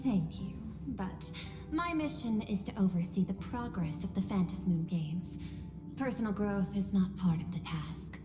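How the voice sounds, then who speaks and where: A woman playing a coolly logical female machine steward in a video game, speaking formally and precisely with measured detachment. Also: mid-range voice, lively intonation, lightly acted. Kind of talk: scripted video game voice acting. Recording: echoey hall reverb, music behind.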